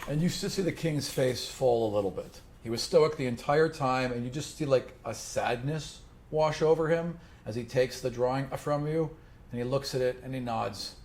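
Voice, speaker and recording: A man's voice: mid-range voice, normal speed, a little mellow. A man working as game master, improvising narration for a tabletop fantasy game.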